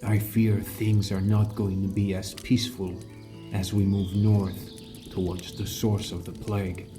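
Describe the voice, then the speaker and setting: A man playing a calm, caring fantasy adventurer and mentor, narrating his journey in a measured, earnest first person for an audiobook. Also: sits low, music in the background. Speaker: narrator and lead character.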